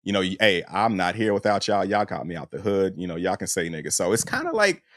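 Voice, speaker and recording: A man co-hosting a podcast in casual, reflective discussion, thinking aloud and rephrasing haltingly as he goes. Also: deep voice, big pitch swings, a bit bright, dry recording, studio quality. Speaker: podcast co-host.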